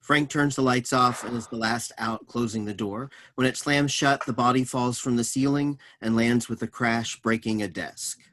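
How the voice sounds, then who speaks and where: A man's voice: medium pitch, fairly even intonation, normal speed, few pauses, a bit bright, slight background noise. A man reading stage directions as scripted narration for a play reading.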